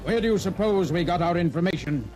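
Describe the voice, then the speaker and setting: An actor in an old-time radio drama playing a cold, smug alien infiltrator posing as an officer, with measured, condescending delivery. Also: male voice, sits high, animated, a little mellow. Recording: old radio broadcast, music behind.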